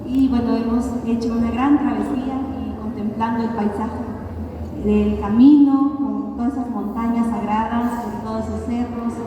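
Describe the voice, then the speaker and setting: A woman with a Kaqchikel mother tongue addresses a fair audience from the stage, unhurried and heartfelt. Her fairly high voice carries through a PA, echoing with a long tail as if heard from across an open square. Music plays behind her. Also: steady tone, noisy background. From San Juan Comalapa, Guatemala. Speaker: guest singer. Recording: echoey outdoor stage sound from far off, music behind.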